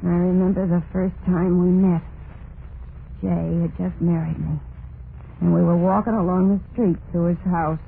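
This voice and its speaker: An actress playing a tormented, murderous young wife in a vintage radio horror play, confessing in a brooding, repetitive monologue with plain folksy speech. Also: female voice, fairly low pitch, flat delivery, moderate pace, vocal fry, narrow, tinny audio. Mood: bitter, resentful. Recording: noisy, band-limited vintage radio recording.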